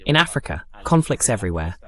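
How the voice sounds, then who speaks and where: A man hosting a political talk show, speaking in a formal, measured announcer style as he introduces and moderates. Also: on the low side, big pitch swings, pushed and bright. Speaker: host. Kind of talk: scripted introduction and moderating questions, translated voice-over.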